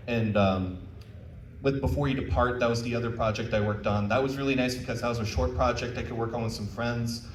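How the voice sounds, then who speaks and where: Guy doing a casual panel talk, kinda frank n encouraging, mic sounds far off, echoey room. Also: medium pitch. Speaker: panel speaker. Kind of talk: informal convention talk with audience questions. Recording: roomy, distant mic, faint background noise.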